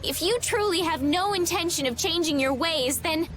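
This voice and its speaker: A woman voicing a gentle, earnest young princess, polite and pleading, in a video game cutscene. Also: extremely high pitch, lively intonation, moderate pace, soft background music. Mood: resolute, grieved.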